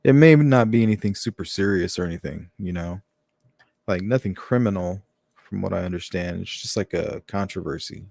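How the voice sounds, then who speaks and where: A man hosts a casual livestream and jokes about the comments viewers post. His voice is fairly low and warm. It is recorded close and dry, with no room sound. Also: normal speed, creaky, no echo. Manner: joking, chatty asides to viewers. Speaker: livestream host reading chat. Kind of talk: casual live chat.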